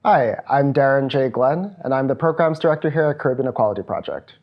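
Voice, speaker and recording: A man's voice: mid-range voice, expressive, lo-fi sound, soft background music. Earnest man in his thirties, from Trinidad. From Trinidad and Tobago. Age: 30s. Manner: reflective, earnest, measured. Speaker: programs director. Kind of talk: personal testimony at a virtual event.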